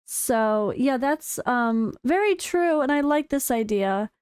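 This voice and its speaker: High, slightly hoarse American woman gamer. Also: slow, no room sound, studio quality. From United States. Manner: chatty and excitable, with frequent fillers. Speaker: gaming content creator. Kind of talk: casual commentary reading developer notes.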